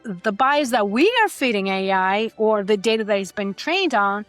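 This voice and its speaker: A woman's voice: medium pitch, lively intonation, normal speed, faint music behind. Earnest woman from Greece explaining carefully. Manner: earnest and explanatory, with frequent restarts. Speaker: podcast guest. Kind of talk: conversational interview.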